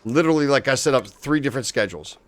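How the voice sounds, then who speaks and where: Excitable man streaming a game. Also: mid-range voice, very fast. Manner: excitable, swears casually, talks to chat. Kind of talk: casual live-stream commentary.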